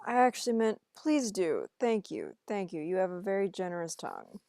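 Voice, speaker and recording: An earnest, polite young man with an awkward streak, played by a woman in a casual script read. The voice sits at a medium female pitch and sounds close and dry. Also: very animated, moderate pace, warm tone, no echo. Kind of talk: amateur table read of a film script.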